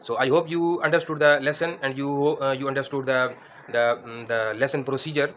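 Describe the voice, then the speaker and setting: Teacher in his thirties, mid-pitched, hesitant lesson delivery, close mic indoors. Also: steady tone, fairly quick, a bit bright, slight background noise. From Pakistan. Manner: hesitant, many fillers and restarts. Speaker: English teacher. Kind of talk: spoken grammar lesson. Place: indoors against a plain wall.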